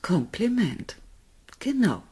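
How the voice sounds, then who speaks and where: A defiant, sharp-tongued woman in a science fiction radio drama. Her voice is husky. She spars with sarcasm and refuses to back down. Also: medium pitch, very animated, slow, exaggerated voice. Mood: defiant, sarcastic. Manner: sarcastic, combative. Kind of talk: German radio play acting.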